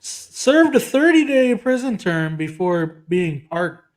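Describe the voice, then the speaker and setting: Rambling man with a slightly high voice. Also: sing-song, measured pace. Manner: rambling, half-laughing asides, trails off. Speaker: podcast co-host. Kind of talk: casual political podcast chat.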